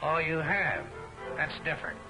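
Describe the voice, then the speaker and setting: An actor playing a sarcastic, menacing racketeer boss with mock-polite manners, in 1940s film serial style. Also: male voice, a little high, sing-song, moderate pace. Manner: mock-polite, drawn out, threatening. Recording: old film soundtrack with music behind.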